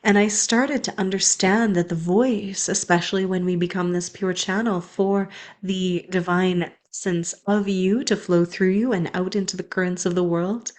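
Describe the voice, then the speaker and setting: A woman's voice: fairly low pitch, fairly even intonation, moderate pace. A young woman, a spiritual coach, speaking in an earnest, flowing, affirming way in an inspirational monologue.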